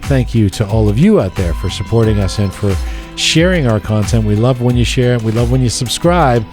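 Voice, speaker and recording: A man hosting a podcast, joking and animated in casual chat and reading sponsor spots. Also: medium pitch, normal speed, music in the background. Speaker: podcast host.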